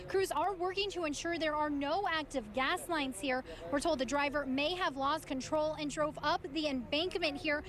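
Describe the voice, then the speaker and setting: High-pitched American woman reporting amid outdoor bustle. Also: normal speed, hardly any pauses, a crowd in the background. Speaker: field reporter. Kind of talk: live breaking news report. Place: outdoors by a highway.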